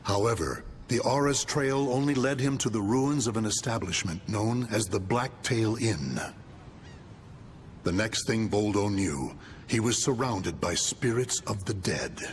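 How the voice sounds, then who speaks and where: A man's voice: on the low side, a little slow, slight background noise. A man delivering scripted, solemn, dramatic narration for a video game story.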